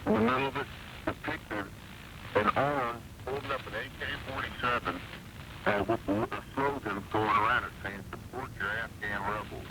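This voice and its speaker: A man in his forties calling a radio show, telling stories in a casual, halting, rambling way over a shortwave broadcast. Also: medium pitch, lively intonation, creaky. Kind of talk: casual phone-in chat. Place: phone call into radio show. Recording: very noisy shortwave broadcast, distant caller sound.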